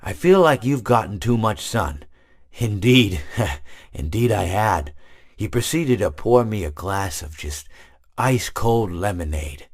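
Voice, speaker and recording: A rambling, long-winded animatronic hippo. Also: male voice, on the low side, lively intonation, measured pace, husky. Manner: rambling, many restarts, meandering anecdotes.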